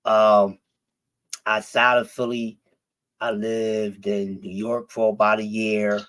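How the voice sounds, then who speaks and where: A man's voice: lowish voice, flat delivery, slow, fairly bright tone. Rambling, cussing Philly man. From Philadelphia. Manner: profane, rambling, with many restarts. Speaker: stream guest. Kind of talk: casual chat.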